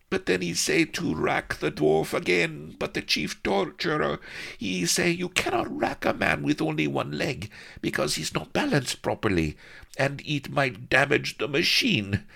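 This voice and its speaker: A man's voice: fairly high pitch, vocal fry, pushed and bright, breathy edge, voice pushed up, heavily acted. A man putting on a breathless young noblewoman with a Spanish-tinged accent. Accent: put-on Spanish-flavoured broken English. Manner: breathless, pleading, melodramatic.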